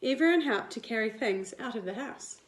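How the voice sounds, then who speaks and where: A woman, a teacher reading a picture book aloud to young children in an expressive, deliberate way. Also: a little high, slight background noise. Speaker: primary school teacher. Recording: roomy indoor echo.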